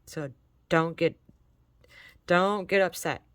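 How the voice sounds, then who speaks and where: A woman's voice: on the low side, slow, a bit bright, no room sound. A woman from Michigan hosting a crafting tutorial, chatting and encouraging viewers while she works, her voice congested from allergies. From Michigan, USA. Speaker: crafting channel host. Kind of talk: casual crafting tutorial chat.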